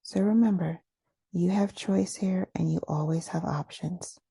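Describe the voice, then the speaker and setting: Low, husky woman guiding meditation. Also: fairly even intonation, dark tone, no echo. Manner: soothing, unhurried, pausing between short phrases. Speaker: meditation guide. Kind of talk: guided meditation, gentle scripted instruction.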